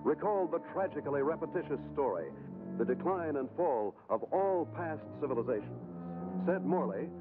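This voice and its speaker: Male actor as urgent newsreel narrator, American, solemn and formal, slightly high voice, thin vintage film audio. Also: animated, normal speed, natural voice. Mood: grave, ominous. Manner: solemn, dramatic, formal delivery. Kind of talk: 1950s newsreel scripted narration. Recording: tinny, band-limited old film soundtrack. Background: loud newsreel music.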